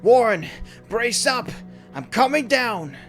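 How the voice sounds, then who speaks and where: A frightened, loyal man , acted out by a male reader, shouts urgently in a horror tale. The voice jumps high and turns loud and strained with panic. Music plays faintly underneath. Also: very animated, takes it slowly, heavily acted. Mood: desperate resolve. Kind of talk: dramatic horror story reading.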